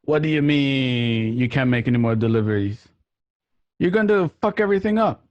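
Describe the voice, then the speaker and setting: A man's voice: mid-range voice, lively intonation, normal speed, no echo, natural voice. A man playing a bossy, menacing mobster in an amateur radio play, on a lo-fi home recording. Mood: irritated. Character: bossy, menacing mobster running a cab stand.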